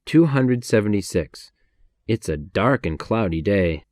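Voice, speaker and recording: Low-voiced American man reading drills. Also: lively intonation, darkish tone, no echo, clean studio sound. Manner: clear, measured reading. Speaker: English lesson reader. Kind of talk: numbered sentence reading for repetition practice.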